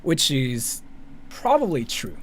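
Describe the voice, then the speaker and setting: A man's voice: medium pitch, huge pitch swings, slow pace. A man from Japan with a Japanese accent doing casual, rambling live commentary, often repeating and interrupting himself. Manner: rambling, repetitive, self-interrupting, swears casually. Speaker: streamer, commentary host. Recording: faint background noise.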